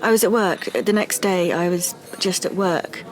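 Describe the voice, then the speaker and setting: A woman's voice: fairly low pitch, normal speed, lightly acted. An actress playing a wary, easily indignant young woman in an audio drama, with clipped, stammering delivery. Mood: shaken. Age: young adult. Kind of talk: audio drama monologue and dialogue.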